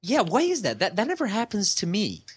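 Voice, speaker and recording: A man from Colombia whose first language is Spanish, co-hosting a podcast with animated, stammering talk. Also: sits high, a little fast, breathy edge, no echo. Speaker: podcast co-host. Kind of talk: casual podcast chat.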